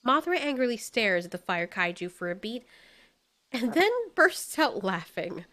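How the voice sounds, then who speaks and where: A woman storyteller reads scripted fiction. Her voice sits fairly high and moves through a very animated range as she narrates expressively. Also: moderate pace.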